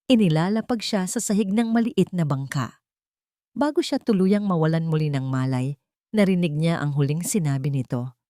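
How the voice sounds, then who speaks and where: Low-voiced woman narrating, dry sound. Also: mellow, no room sound. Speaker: storyteller. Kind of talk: scripted dramatic story narration.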